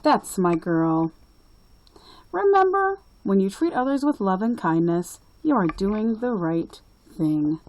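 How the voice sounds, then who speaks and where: A woman voicing a kindly, wise grandpa in a storybook, gently encouraging and emphatic. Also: mid-range voice, sing-song, takes it slowly, dry recording, poor audio. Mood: proud, encouraging.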